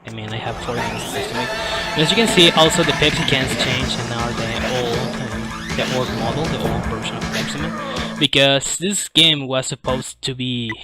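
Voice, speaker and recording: Male game streamer, non-native English, casual and halting live commentary, mid-range voice over prominent music. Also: mid-range voice. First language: not English. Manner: halting, searching for words. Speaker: speedrunner presenting a game at a streamed marathon.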